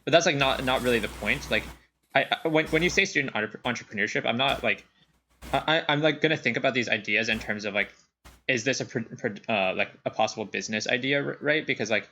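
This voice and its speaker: A young man co-hosting a casual chat podcast, talking in halting restarts full of "like" fillers. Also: medium pitch, pushed and bright, slight background noise. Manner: hesitant, many restarts and "like" fillers. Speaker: podcast co-host.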